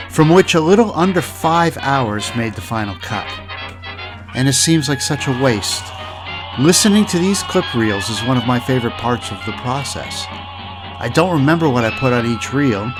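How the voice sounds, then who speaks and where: A man reading scripted narration as the narrator of a podcast. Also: mid-range voice.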